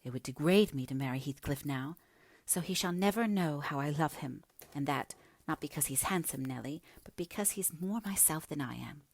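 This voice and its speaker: Lady reader doing a headstrong young gentlewoman, dramatic and breathy, pours her heart out, close dry sound. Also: deep voice, moderate pace, dry recording. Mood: wistful, confiding. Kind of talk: dramatic audiobook character reading.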